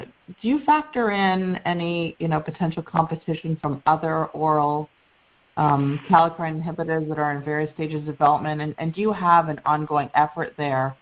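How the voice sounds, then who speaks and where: A woman calling in as a financial analyst, asking questions on an earnings call in polite, tentative phrasing. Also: low voice. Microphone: telephone. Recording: tinny, narrow phone line.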